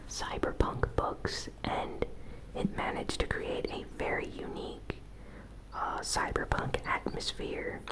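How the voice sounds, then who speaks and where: A host whispering a gaming news recap in a soft, breathy ASMR style, with an unhurried, conversational and slightly hesitant delivery. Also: poor audio.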